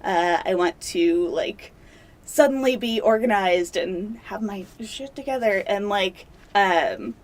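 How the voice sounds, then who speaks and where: A young woman, a doctoral candidate, talking casually in an interview, chatty and self-deprecating with many fillers, seated in a dark studio. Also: mid-range voice, takes it slowly. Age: young adult.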